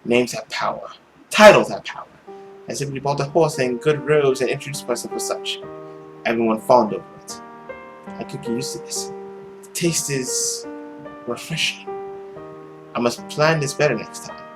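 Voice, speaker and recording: A man's voice: medium pitch, moderate pace, long pauses, soft background music, natural voice. A man playing a world-weary immortal demon who poses as a nobleman, musing theatrically through his journal in a visual novel. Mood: sly musing. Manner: brooding, musing, theatrical diary reading.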